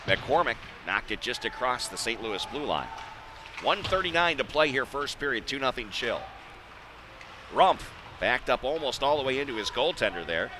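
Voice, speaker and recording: A man gives live hockey play-by-play in clipped, running phrases. His voice sits at a middle pitch, with clear arena noise behind him. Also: animated, moderate pace. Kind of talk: live sports radio commentary. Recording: noisy live ice arena ambience.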